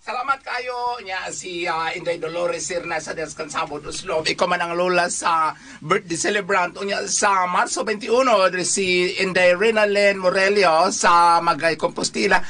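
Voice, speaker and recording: A man's voice: high-pitched, fairly even intonation, unhurried, pushed and bright. A man with a Cebuano accent presenting casual greetings on radio.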